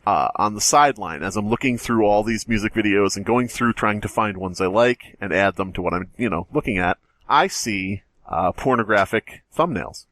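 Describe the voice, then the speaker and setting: A man's voice: lowish voice, normal speed, a bit bright, no room sound. A man hosting a podcast, telling a story in casual, profane chat. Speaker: podcast host.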